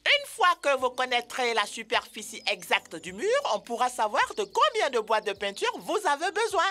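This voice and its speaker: A mother-bird teacher in an educational cartoon. Her voice is high and bright, with big swings in pitch. She explains things expressively, helpful but firm. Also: normal speed, faint music behind. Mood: instructive. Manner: expressive, instructive. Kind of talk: animated educational cartoon dub.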